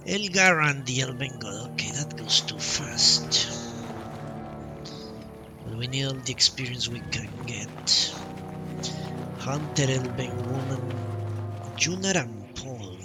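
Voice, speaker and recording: A man's voice: mid-range voice, lively intonation, slow pace, pauses often. A man giving live gameplay commentary as a streamer, sometimes reading dialogue choices aloud. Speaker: game streamer. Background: game music.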